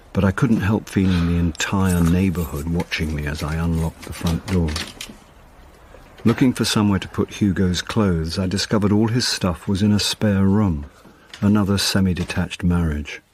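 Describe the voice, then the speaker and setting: Low-voiced, wry middle-aged sleuth. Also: male voice, darkish tone, slight background noise. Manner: wry, understated. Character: wry middle-aged actor and amateur sleuth, put-upon son. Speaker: narrator and lead character. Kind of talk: first-person radio drama narration and dialogue.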